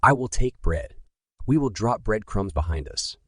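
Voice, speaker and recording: A man's voice: lowish voice, expressive, moderate pace, fairly bright tone, no room sound, studio quality. A man voicing a brave, earnest young girl who looks after her brother in a bedtime story. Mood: determined. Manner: earnest, reassuring, polite. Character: brave, resourceful young girl, caring big sister.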